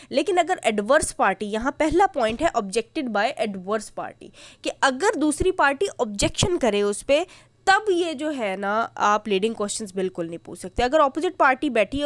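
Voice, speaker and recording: Higher-voiced Pakistani woman giving a lecture. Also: dry recording. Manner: didactic, often checking in and repeating points. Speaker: law lecturer. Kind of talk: explanatory lecture mixing Urdu and English.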